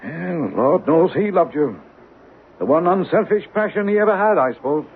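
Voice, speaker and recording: An actor playing a crusty older Yankee banker in a radio drama, dry and formal, with a husky voice. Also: male voice, on the high side, lively intonation, normal speed. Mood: dry, wistful. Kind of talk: old-time radio drama acting. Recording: tinny vintage radio broadcast.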